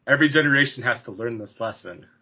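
A man's voice: medium pitch, quick pace, slightly rough. A man in his forties hosting a podcast interview, rambling casually with frequent laughs and restarts. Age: 40s. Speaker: podcast host. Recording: dull lo-fi sound.

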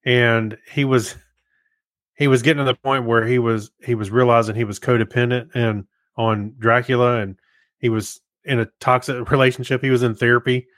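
A man's voice: medium pitch, flat delivery, moderate pace, a bit bright, no echo. A man co-hosting a podcast in casual, understated conversation. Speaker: podcast co-host.

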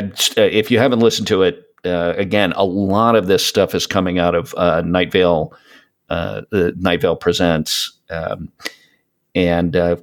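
A man in casual podcast chat, giving recommendations in a rambling way as he searches for his words. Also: deep voice. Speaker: podcast guest.

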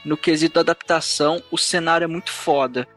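A man's voice: a little high, fairly even intonation, a little fast, a bit bright, faint music behind. A Brazilian man co-hosting a casual pop culture podcast, chatting in slangy, profane language. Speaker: podcast co-host. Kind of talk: casual group chat about pop culture.

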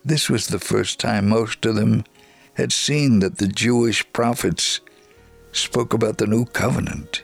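A man giving an earnest, measured first-person reading of a missionary's letter, in scripted narration. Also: on the low side, creaky.

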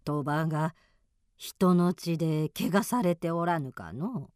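A woman's voice: low voice, takes it slowly, a little mellow, clear, resonant tone, dry recording, clean studio sound. A woman playing a centuries-old, mysterious and stern old mountain woman with archaic, weighty speech in a radio drama. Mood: cryptic, calm.

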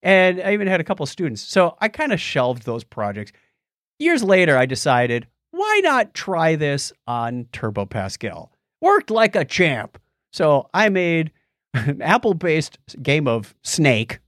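A man's voice: a little high, unhurried, no room sound, clean studio sound. A middle-aged American man co-hosting a casual tech podcast, chatting and telling stories in a jokey way. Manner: jokey, animated storytelling. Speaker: podcast co-host.

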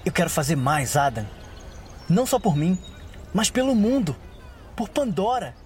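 Um ator interpretando um jovem guerreiro idealista e sonhador num audiodrama de fantasia, com fala melancólica e sincera. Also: male voice, high-pitched, very animated, moderate pace, a little mellow, slight background noise. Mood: restless, yearning. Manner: wistful, earnest, theatrical. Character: young idealistic dreamer warrior yearning to see the world. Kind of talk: fantasy audio drama acting. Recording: ambient sound effects behind.

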